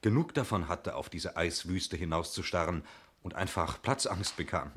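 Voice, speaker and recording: An actor in a 1960s German radio play, playing a breezy, talkative stranger who is glib, jovial and evasively polite. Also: male voice, low-pitched, expressive, moderate pace, fairly bright tone, breathy edge, exaggerated voice. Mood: smoothly explaining. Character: breezy, talkative male stranger claiming to own the chalet.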